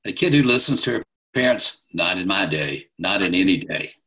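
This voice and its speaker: A man playing a gruff old dad. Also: deep voice, lively intonation, moderate pace, pushed and bright, low-quality recording. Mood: dry. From New York. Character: blunt elderly father, gruff and teasing.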